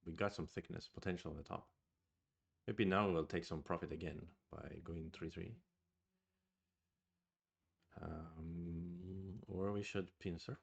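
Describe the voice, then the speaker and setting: A man's voice: sits low, fairly even intonation, measured pace, vocal fry, pauses often, breathy edge. A man with a Swedish accent streams his go game, thinking aloud in a hesitant, musing way. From Sweden. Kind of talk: live-streamed game commentary.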